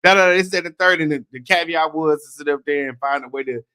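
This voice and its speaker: A man's voice: fairly high pitch, quick pace, dry recording. Laid-back chatty male podcast co-host. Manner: relaxed, chatty, laughs easily, restarts words. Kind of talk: casual podcast chat.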